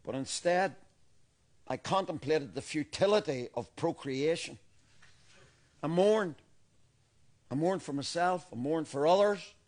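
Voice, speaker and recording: Man doing older Belfast grandfather, Northern Irish accent, deadpan, paranoid, fairly high voice. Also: a little slow, long pauses, natural voice. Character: paranoid bigoted old grandfather, defensive and unhinged. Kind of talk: comedy sketch acting.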